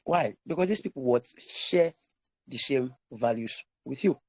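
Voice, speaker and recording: A man's voice: medium pitch, animated, measured pace, a little mellow, no room sound. Man teaching a live online class. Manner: repetitive, emphatic, checks in with listeners. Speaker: sales coach. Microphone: online call.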